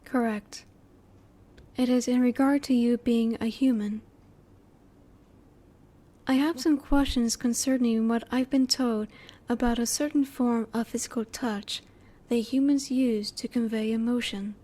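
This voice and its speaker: A curious female alien, played by a woman in an audio roleplay. Her voice sits fairly high and has a mellow, soft tone. She asks things politely in formal, precise wording, keeping her emotions reserved. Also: fairly even intonation, unhurried. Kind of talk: roleplay audio drama, one-sided dialogue to the listener.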